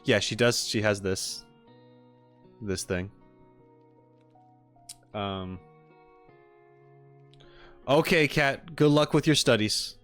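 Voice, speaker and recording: A man's voice: fairly low pitch, expressive, very slow, pauses often, fairly bright tone, faint music behind. Casual, rambling male streamer. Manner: rambling, informal, frequent hedging and "like". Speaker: streamer reacting to game character trailers. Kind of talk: casual live-stream chat and critique.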